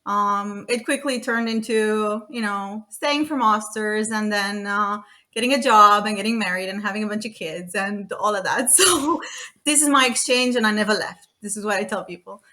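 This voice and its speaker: A woman in her thirties, from Romania, chats as a podcast guest with a bright, forceful tone. She talks freely, stopping for fillers and restarting her sentences, and laughs often. Her pitch sits in the middle range. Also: moderate pace. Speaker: guest, data consultant. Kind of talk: casual podcast chat.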